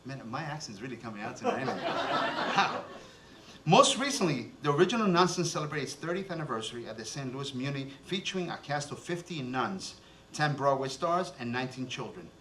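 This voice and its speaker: A man's voice: medium pitch, very animated, a bit bright, a little hiss or hum. A man with a Cuban-American accent hosting an awards ceremony, teasing the crowd and making fun of himself. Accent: Cuban-American, raised in New Jersey. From Cuba. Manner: teasing, self-mocking, quick jokes. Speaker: host and emcee. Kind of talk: joking emcee speech at an awards ceremony. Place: stage in an event hall. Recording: roomy hall, mic heard from far off.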